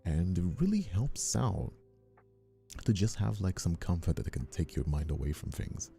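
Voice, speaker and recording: A man in his twenties who sounds older than his years, chatting casually on a livestream in a halting, rephrasing way. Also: low voice, big pitch swings, vocal fry, dark tone, faint music behind. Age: 20s. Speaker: ASMR streamer and voice actor.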